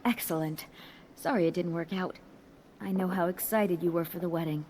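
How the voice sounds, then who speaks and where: A woman's voice: fairly low pitch, fairly even intonation, moderate pace, mellow, a little hiss or hum, natural voice. A woman voicing a mild, sympathetic character in a fantasy game, in a plain manner.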